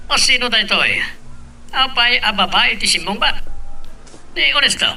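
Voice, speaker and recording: A man's voice: very high-pitched, animated, normal speed, vocal fry, sharp tone, far from the mic, soft background music, exaggerated voice. A man playing a courteous, self-assured rich young businessman in an audio drama. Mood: courteous, curious.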